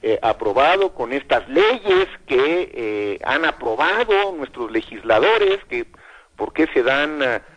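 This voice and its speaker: An older man giving thoughtful commentary in a radio interview, pausing often and repeating words as he thinks. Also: mid-range voice, very animated, a bit bright, poor audio.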